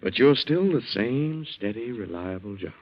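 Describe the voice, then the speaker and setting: Casting: male actor for down-on-his-luck drifter, low voice, loose sardonic delivery, muffled vintage radio drama. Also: lively intonation, normal speed, lightly acted. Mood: rueful. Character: down-on-his-luck, self-pitying, breezy drifter brother. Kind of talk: 1940s radio thriller acting. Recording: muffled 1940s radio recording.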